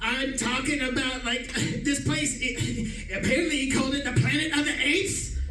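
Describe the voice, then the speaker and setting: A man's voice: very high voice, steady tone, normal speed, sharp tone, soft background music. A man playing an exasperated, boastful old-time radio detective who rants theatrically about his misadventures in a comedic drama. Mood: aggrieved ranting. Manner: theatrical, ranting, emphatic. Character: exasperated, boastful male 1940s-style detective, dramatically rattled time traveler. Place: live stage performance in an echoey room. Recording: echoey room, distant mic, faint noise.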